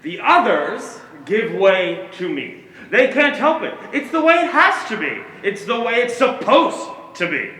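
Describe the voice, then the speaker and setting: A male actor plays a menacing figure who relishes control, delivering a slow, deliberate stage monologue. The voice is high and forceful, echoing through a theater hall. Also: very animated, heavily acted. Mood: cold, boastful. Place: stage in a hall, one-act play performance. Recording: echoey theater hall, faint noise.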